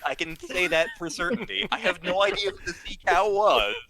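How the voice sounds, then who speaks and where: A man telling humorous anecdotes casually, in a rambling, halting and giggly way. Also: high-pitched, sharp tone. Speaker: storyteller, regular of the show.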